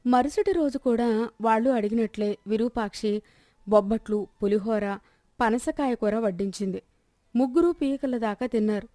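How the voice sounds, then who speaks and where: Female storyteller for moral tales, scripted narration, mellow tone, dry close sound. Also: fairly high pitch, normal speed, no room sound.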